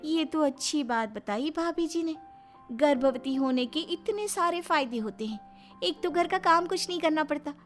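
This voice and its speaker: A very high, swooping voice of a young daughter-in-law acted by a woman storyteller. She is sly and work-shy, whining theatrically in an exaggerated storybook manner. Music plays behind her. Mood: sly, gleeful. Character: lazy, scheming young daughter-in-law faking pain and illness.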